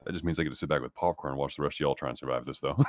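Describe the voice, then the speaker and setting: A man's voice: very deep voice, racing, no echo, studio quality. A man playing a tabletop game, talking casually and at length, reflective and given to swearing. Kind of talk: casual talk about his game character.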